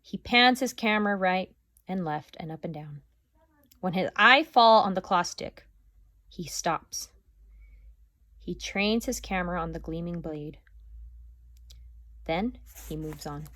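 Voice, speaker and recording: A woman teacher reading a chapter book aloud to her class, with expressive, lively storytelling. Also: on the low side, slow, pauses often, no echo, studio quality.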